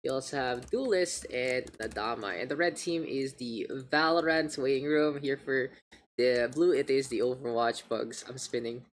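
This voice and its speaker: A man with a gravelly voice hosting an esports livestream, mixing casual slangy chat and game commentary. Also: mid-range voice, moderate pace, a little hiss or hum. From Philippines. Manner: informal, gamer slang, joking asides. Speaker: esports caster, streamer hosting the match. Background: video game sounds and announcer.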